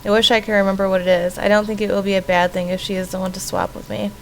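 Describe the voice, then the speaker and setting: A woman's voice: medium pitch, level tone, fast, lightly acted, poor audio. A woman voicing an earnest, pleading and distrustful young girl in a horror visual novel. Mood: pleading, insistent. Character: pleading, distrustful young girl, little sister trapped in painting. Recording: faint background noise.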